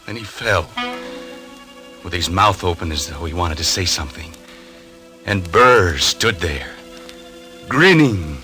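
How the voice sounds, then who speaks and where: An actor playing an agitated, haunted husband, indignant and obsessive, in a 1940s radio suspense style. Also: male voice, deep voice, animated, slow, pauses often, over loud music. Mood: bitter, distraught. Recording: vintage radio drama recording.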